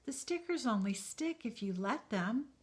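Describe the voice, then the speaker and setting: A woman voicing a kind, loving bearded woodcarver, speaking softly and tenderly, often near a whisper. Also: fairly high pitch, lively intonation, pitched above the performer's own voice. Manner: soft, slow, tender, often hushed.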